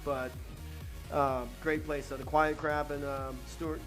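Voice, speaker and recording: A man's voice: on the high side, flat delivery, soft background music. A chatty, upbeat man, a guest on a livestream, greeting viewers and talking about rum. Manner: chatty, upbeat, quick shout-outs. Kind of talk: casual chat, greeting viewers.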